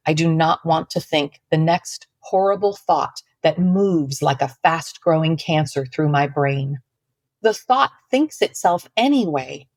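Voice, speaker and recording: Woman, lower-pitched, articulate and earnest author with an expressive reader's delivery, dry sound. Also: husky, no echo. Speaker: author, podcast guest. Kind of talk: expressive book reading and conversation.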